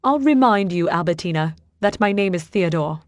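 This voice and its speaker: A woman's voice: lively intonation, normal speed, no echo, clean studio sound, lightly acted. A woman voicing a pompous older man who puts on a fake British accent and talks down to everyone. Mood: haughty. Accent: put-on British. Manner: pompous, booming, haughty.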